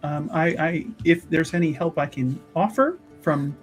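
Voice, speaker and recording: Woman playing a gentle, halting, pious priestess. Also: low voice, soft background music. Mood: earnest, soothing. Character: gentle, earnest, pious priestess offering solace.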